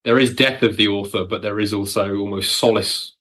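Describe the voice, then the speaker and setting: A man with a fairly low voice speaks as a writer being interviewed. He chats casually and wryly, slipping into little acted-out reactions as he explains things. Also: fairly even intonation, fairly quick, a bit bright. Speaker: audio drama writer, podcast guest.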